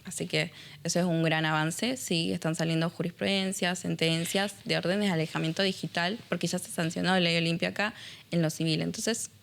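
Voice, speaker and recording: A woman's voice: on the low side, fairly even intonation, fairly quick, vocal fry, a little hiss or hum. A woman activist being interviewed as a guest, speaking matter-of-factly. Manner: matter-of-fact, informative. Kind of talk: interview answers.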